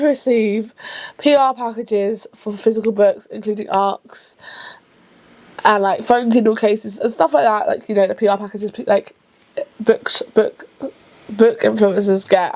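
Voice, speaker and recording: A woman's voice: fairly high pitch, a little mellow. Chatty woman around thirty. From England. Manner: chatty and rambling, with frequent self-corrections; rushed at times. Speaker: book vlogger. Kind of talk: casual to-camera vlog.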